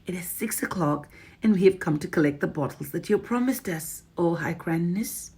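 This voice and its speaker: A woman voicing a group of meek, timid ancient witches speaking slowly. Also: lowish voice, lively intonation, creaky, mellow, husky, pitched above the performer's own voice, full character voice. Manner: meek, slow.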